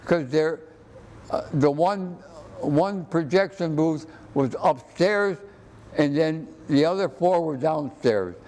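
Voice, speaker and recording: An older American man reminiscing conversationally as an interview guest, halting and often repeating words. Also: fairly high pitch, darkish tone.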